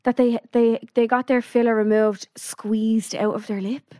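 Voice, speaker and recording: A young woman co-hosting a casual podcast, teasing and quick to laugh. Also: a little high, level tone, mellow, no room sound, studio quality. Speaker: podcast co-host. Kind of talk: casual chat.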